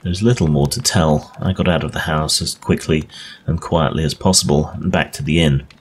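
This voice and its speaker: A man with an extremely deep voice reads an old-fashioned ghost story as a narrator. His delivery is that of a dramatic audiobook. The recording has clear background noise. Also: fairly quick.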